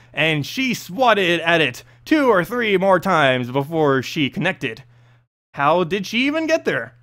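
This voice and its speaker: A man reading a fantasy story aloud as narrator, expressive, now and then stumbling over a word. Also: high-pitched. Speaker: host reading game lore story aloud.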